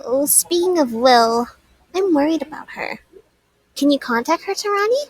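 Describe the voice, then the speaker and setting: Woman as a high, bouncy young girl. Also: expressive, normal speed, dry recording, low-quality recording. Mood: eager, worried. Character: eager, caring, lively young teenage girl. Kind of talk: fan-dub comic voice acting.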